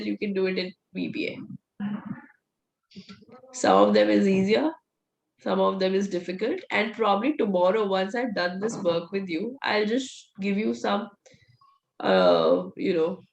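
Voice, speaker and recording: A woman leads a coding class in a hoarse, fading voice with some gravel in it. Her delivery is direct and coaching, at a middle pitch. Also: low-quality recording. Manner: direct, coaching, laughs often. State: voice nearly gone, hoarse. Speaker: VBA trainer. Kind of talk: live online class, teaching and answering questions.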